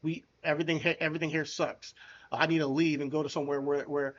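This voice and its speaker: A man who co-hosts a podcast, talking in a casual, blunt and animated way, often restarting and repeating words. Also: on the high side, quick pace, lo-fi sound. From United States. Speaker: podcast co-host. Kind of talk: casual chat.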